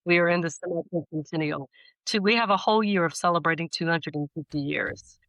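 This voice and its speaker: A woman's voice: fairly low pitch, a little fast, fairly bright tone, rough recording. An American woman talking as a guest poet in a conversational interview, explaining her ideas thoughtfully and with emphasis.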